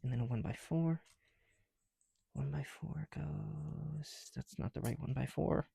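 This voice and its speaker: A young man chatting casually in soft, breathy ASMR style, often dropping to a whisper, slightly breathless behind a mask, with a rambling, self-deprecating manner. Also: a little high, measured pace, dry recording. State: somewhat breathless through a heavy mask. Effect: speaking through a mask. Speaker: ASMR video creator. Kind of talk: ASMR, casual chat while building bricks. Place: indoors, at home.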